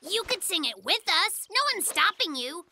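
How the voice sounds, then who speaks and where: A performer voicing a boastful, excitable young brown bear cub who sings and raps in a cartoon. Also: male voice, very high voice, normal speed, slight background noise, exaggerated voice. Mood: excited. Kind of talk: cartoon voice acting. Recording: music playing underneath.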